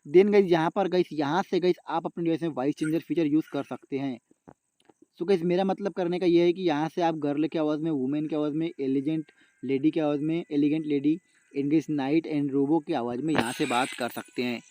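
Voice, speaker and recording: A man's voice: a little high, fairly even intonation, a little fast, dark tone. A man with an Indian accent hosting a casual how-to tutorial, repetitive and often addressing his viewers as guys. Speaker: YouTube tech tutorial host.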